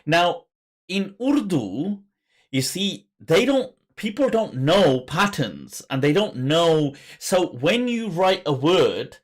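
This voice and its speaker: Male podcast host, unhurried and deliberate, searching out his phrasing as he goes. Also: on the high side, sing-song. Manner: thoughtful, halting, searches for words. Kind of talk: conversational interview.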